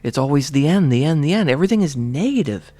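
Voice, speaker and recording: A man's voice: on the high side, expressive, fast, dark tone, dry recording. Stuffy man with a slightly scratchy voice. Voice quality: slightly scratchy, rough throat. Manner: rambling, with frequent fillers and restarts. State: stuffy and congested from allergies. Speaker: tarot reader. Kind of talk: casual spiritual talk to camera.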